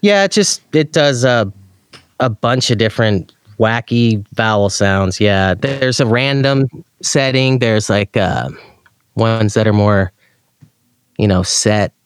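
A man's voice: on the low side, takes it slowly, clear, resonant tone, no echo, clean studio sound. A man speaking as an interview guest about gear he loves, in casual, slangy, laid-back enthusiasm. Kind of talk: casual chat.

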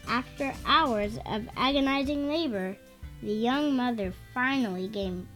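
Female performer as a solemn radio announcer, high pitch, slow storytelling, music behind. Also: fairly bright tone. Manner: deliberate, storytelling. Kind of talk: scripted old-fashioned radio narration.

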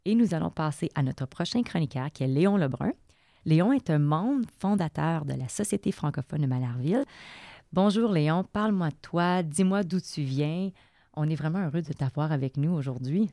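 A woman's voice: low-pitched, animated, moderate pace, dark tone, no room sound, clean studio sound. A woman with a Québécois accent hosting a podcast around a table, upbeat and welcoming, laughing easily. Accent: Québécois French. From Québec. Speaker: podcast host. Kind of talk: casual podcast chat.

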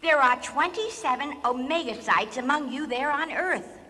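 A woman's voice: sits high, normal speed, bright, forceful tone, big-room sound. A woman playing a naive, fretful female alien in a comic radio drama, politely earnest and full of questions. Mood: worried. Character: naive, fretful blue-green female alien from a distant planet. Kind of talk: comic radio theater acting.

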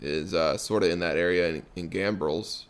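A laid-back man hosting a podcast and chatting easily with his guest. His voice sits fairly low, and he fills his pauses with ums as he talks his way through each question. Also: clear, resonant tone. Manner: relaxed, many ums and restarts. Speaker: podcast host. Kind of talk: casual interview chat.

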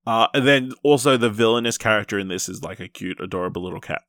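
A man's voice: fairly low pitch, fast, fairly bright tone, dry recording, studio quality. A man hosting a podcast and chatting casually about films. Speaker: podcast host. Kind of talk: casual film review chat.

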